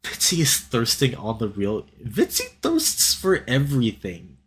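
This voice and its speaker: A young man doing a playful, expressive let's play, reading a visual novel aloud and bantering with his chat. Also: medium pitch, measured pace, breathy edge. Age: young adult.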